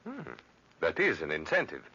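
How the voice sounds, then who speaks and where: An actor playing an arrogant, vain master architect in old radio drama style, pompous and haughty. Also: male voice, sits low, wildly swooping pitch, normal speed, bright, forceful tone, breathy edge, exaggerated voice. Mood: grandly boastful. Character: arrogant, vain master architect, Italian, grandly self-admiring. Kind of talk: 1940s radio drama acting. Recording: dull lo-fi old radio recording.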